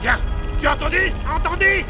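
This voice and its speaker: An actor voicing an excitable, panicky companion in a French-dubbed animated adventure, frantic and exclamatory. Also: extremely high pitch, fairly quick. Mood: urgent, concerned. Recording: loud film music and crowd din.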